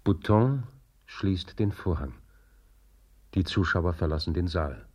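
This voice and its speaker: An actor as a sober, grave narrator reading scripted radio drama narration. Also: male voice, low voice, animated, takes it slowly, a little mellow, slightly rough, full character voice.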